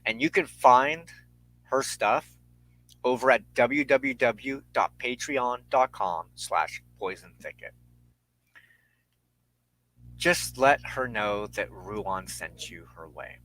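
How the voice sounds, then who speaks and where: A man hosting a spiritual show, speaking reflectively and earnestly in measured, halting short phrases with deliberate pauses. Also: mid-range voice, a bit bright, low-quality recording. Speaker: host and minister of a spiritual show. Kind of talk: reflective spiritual talk to an online audience.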